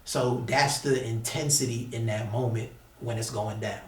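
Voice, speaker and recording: A man's voice: mid-range voice, fairly even intonation, normal speed. A man from the United States telling a story casually as an interview guest, with slangy, emphatic and repetitive phrasing. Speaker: interview guest, battle rapper. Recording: echoey room, distant mic.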